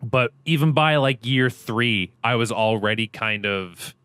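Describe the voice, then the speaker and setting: Young man, upbeat podcast guest, jokey, crisp clear voice, medium pitch. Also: measured pace, a bit bright, no echo, clean studio sound. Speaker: podcast guest, streamer and actor. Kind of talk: casual interview chat.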